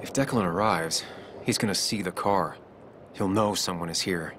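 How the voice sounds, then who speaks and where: An actor playing a troubled, wary, earnest investigator in a video game, low-key and terse, as if thinking aloud. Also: male voice, normal speed, noisy background, natural voice. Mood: wary, pensive. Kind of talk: video game dialogue and inner monologue.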